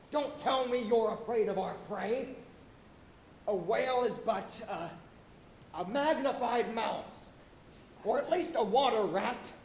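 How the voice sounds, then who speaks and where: A man's voice: high voice, a little mellow, slight background noise, full character voice. A man playing a jovial, fearless, teasing whaling second mate, in theatrical, old-fashioned sailor speech. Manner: jesting, rhetorical, unhurried. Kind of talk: theatrical stage acting, archaic sailor speech. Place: college auditorium stage, live performance. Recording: echoey theatre stage.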